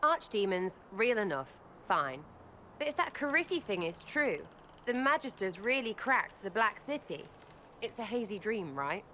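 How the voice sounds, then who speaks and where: A woman's voice: medium pitch, sing-song, unhurried, pushed and bright, slight background noise. A woman playing a brash, irreverent young archer in a video game, blunt and slangy, with a British accent. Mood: uneasy, insistent. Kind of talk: video game dialogue acting.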